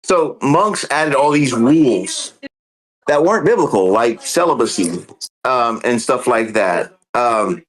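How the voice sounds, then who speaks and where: A man's voice: medium pitch, expressive, unhurried, fairly bright tone, poor audio. A man hosting a podcast, earnestly explaining a theological point step by step, with frequent ums and restarts. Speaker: podcast host. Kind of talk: casual theological discussion.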